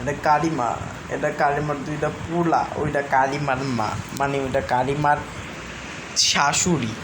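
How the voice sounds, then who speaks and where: Low-pitched, insistent woman in noisy surroundings. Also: steady tone, moderate pace. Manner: insistent, repetitive. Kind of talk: casual off-the-cuff talk. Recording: noisy everyday ambience.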